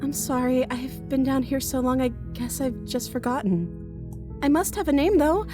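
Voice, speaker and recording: A woman voicing a young princess who talks in a soft, coaxing way, with music behind her. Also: high voice, normal speed, natural voice. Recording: game audio with music behind.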